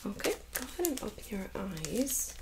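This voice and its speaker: Woman, 30s, hushed ASMR medical examiner, gently instructing, with a gravelly fry. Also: medium pitch, sing-song, moderate pace, very noisy. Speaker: ASMR performer playing a nurse examiner. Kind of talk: soft-spoken ASMR medical role-play. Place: small indoor room set up as exam room.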